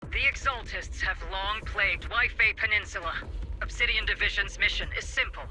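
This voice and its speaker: A man's voice: high-pitched, normal speed, pushed and bright, faint music behind, rough recording. A man playing a stern, resolute military commander in a game, speaking in a formal, commanding manner. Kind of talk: dramatic game mission briefing.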